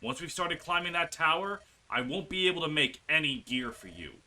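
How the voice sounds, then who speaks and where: Earnest fantasy game companion read by a man, lively and bright, dramatic delivery, light music. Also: on the high side, normal speed, full character voice. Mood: earnest, urgent. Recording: music behind the voice.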